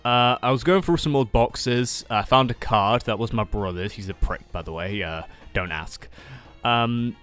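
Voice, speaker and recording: A man's voice: mid-range voice, a little slow, few pauses, fairly bright tone, clear, resonant tone, natural voice. A man playing a world-weary, sarcastic final-year student in a casual roleplay, muttering hesitant asides. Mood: casual, awkward. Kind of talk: casual improvised Minecraft anime roleplay. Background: music.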